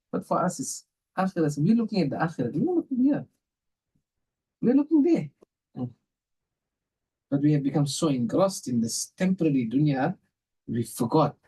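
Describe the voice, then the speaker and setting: A South African man with a husky, mellow voice talks as a religious scholar in a conversational way. He drives his points home by repeating phrases with emphasis. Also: on the high side, expressive, normal speed, rough recording. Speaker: Islamic scholar, podcast guest.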